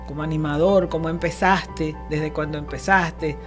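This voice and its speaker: A woman hosting a radio talk show, warmly introducing her guest and chatting with him, and laughing easily. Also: low voice, fairly even intonation, moderate pace, soft background music. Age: older. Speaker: radio host.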